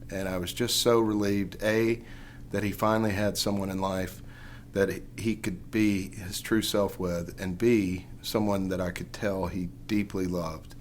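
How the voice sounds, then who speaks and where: A man giving a heartfelt family tribute, sincere and warm-hearted. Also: low voice, slight background noise. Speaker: lawyer.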